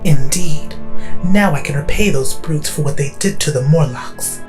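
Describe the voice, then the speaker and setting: A woman's voice: low voice, moderate pace, lightly acted. An actress playing a vengeful female plague villain in an audio drama, speaking vindictively. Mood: vengeful relish. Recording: music behind the voice.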